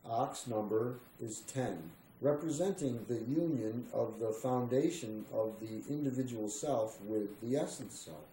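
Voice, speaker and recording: Slow, very mellow man reading aloud. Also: medium pitch. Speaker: reader of a spiritual text. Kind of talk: slow meditative scripted reading. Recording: roomy echo, faint background noise.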